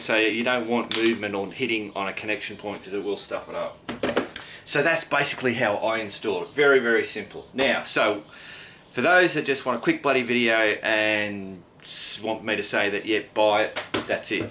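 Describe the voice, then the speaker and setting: A man's voice: on the low side, fairly quick, noisy background. An Australian man giving a casual hands-on product review in a blokey, slangy, mildly sweary way. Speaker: product review video host.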